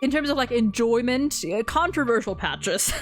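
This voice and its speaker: Woman chatting casually about games, highish voice, very opinionated and rambly, music in the back. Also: animated, normal speed. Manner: emphatic, opinionated, rambling tangents, swears. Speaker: host of a video game story ranking discussion. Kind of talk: casual opinionated chat, unscripted.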